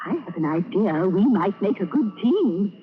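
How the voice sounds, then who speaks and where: A woman's voice: mid-range voice, animated, moderate pace, slight background noise, close to an everyday voice. A woman doing a sugary, scheming landlady poisoner. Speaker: radio drama actor. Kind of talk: 1940s radio acting. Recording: tinny lo-fi old radio broadcast.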